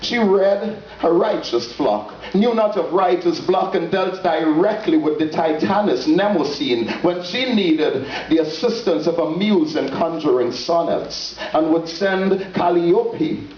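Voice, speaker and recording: A man gives a dramatic spoken-word poetry reading, phrasing theatrically and solemnly with deliberate pauses. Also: fairly high pitch, a little mellow. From Trinidad and Tobago. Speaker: poet. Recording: dull lo-fi, roomy echo, distant, faint noise.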